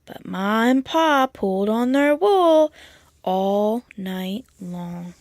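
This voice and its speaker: A woman reading a children's picture book aloud in an expressive, storytelling manner. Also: mid-range voice, takes it slowly, no room sound. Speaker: storybook reader.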